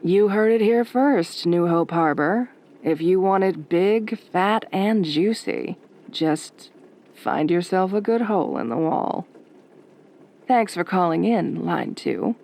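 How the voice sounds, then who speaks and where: Casting: woman to play sultry night-radio host; gentle, somewhat low, dry teasing wit. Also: animated, slow pace. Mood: wry, teasing. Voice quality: soft, velvety. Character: velvety, wry, flirtatious female late-night radio DJ.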